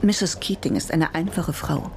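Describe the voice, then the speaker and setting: An actress playing a tough, sceptical prosecutor in a radio drama, speaking brusquely. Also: female voice, low-pitched, measured pace, slight background noise.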